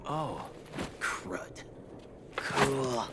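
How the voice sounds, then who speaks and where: A man's voice: mid-range voice, big pitch swings, extremely slow, very noisy. A man voicing a goofy, laid-back teenage turtle in a cartoon video game, with a slacker drawl. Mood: goofy, casual.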